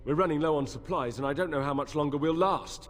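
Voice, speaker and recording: An actor playing a weary, worried soldier quartermaster in a fantasy game, blunt and downbeat, in a reverberant hall. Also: male voice, a little high, fast, lightly acted. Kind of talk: fantasy game dialogue acting. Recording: long reverb of a stone hall.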